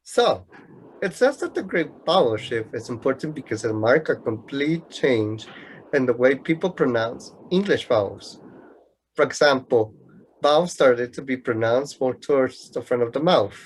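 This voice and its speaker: An actor playing a know-it-all young student in a radio play, lecturing pedantically and with some exasperation in a husky voice. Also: mid-range voice, lively intonation, normal speed, slight background noise, close to an everyday voice, rough recording. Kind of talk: amateur radio play acting.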